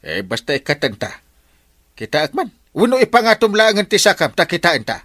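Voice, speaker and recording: Blustering comic village captain, wildly swooping voice. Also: male voice, sits high, wildly swooping pitch, fairly quick, a bit bright, no echo, heavily acted. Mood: suspicious, insistent. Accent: Ilocano. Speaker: radio drama actor. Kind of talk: comedy radio drama acting.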